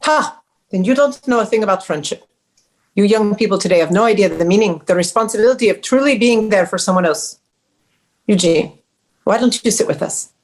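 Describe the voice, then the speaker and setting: A woman's voice: medium pitch, fairly quick, a little mellow, close to an everyday voice. A woman acting a domineering older mother at a script read, pointed and sweetly cutting. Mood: scolding. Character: sharp-tongued, passive-aggressive older mother, domineering. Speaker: actor in a script table read. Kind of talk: table read of a screenplay.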